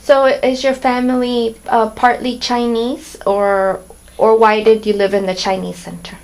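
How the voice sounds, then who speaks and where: Soft-prompting female interviewer. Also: fairly high pitch, little pitch movement, unhurried, gravelly, low-quality recording. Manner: gentle prompting with short acknowledgements. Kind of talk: oral history interview.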